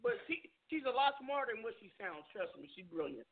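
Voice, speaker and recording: Very high-voiced teasing man on a phone line. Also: big pitch swings, brisk, a crowd in the background. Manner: teasing, roasting banter. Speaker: co-host. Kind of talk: casual radio chat. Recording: phone-line call-in audio.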